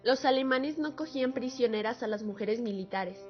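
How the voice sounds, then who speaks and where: A woman's voice: on the high side, normal speed, natural voice. An actress playing a solemn female war veteran giving testimony in a radio drama. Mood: grim testimony. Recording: muffled lo-fi audio under music.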